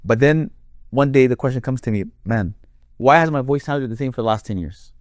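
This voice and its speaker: A man with a deep voice, a Quran reciter explaining his craft in a conversational interview, building his points with long worked examples. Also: a little fast, a little mellow, no room sound, clean studio sound.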